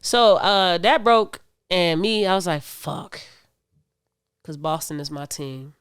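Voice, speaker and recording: A woman's voice: fairly low pitch, lively intonation, takes it slowly, smooth, no echo, studio quality. Laughing, slangy podcast woman. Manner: laughs often, curses freely, slangy. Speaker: podcast co-host. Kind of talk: casual chat.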